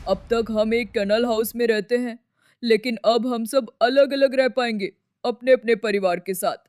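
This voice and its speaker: A woman's voice: a little high, fairly even intonation, normal speed, a little mellow, dry recording, studio quality, natural voice. A woman voicing a determined village daughter-in-law who rallies others with urgency.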